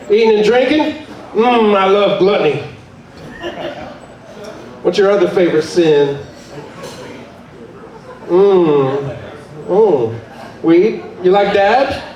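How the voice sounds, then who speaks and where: A man doing a stand-up roast in character as the devil, with a put-on slow Southern drawl. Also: sits high. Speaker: comedian. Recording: echoey room, distant mic.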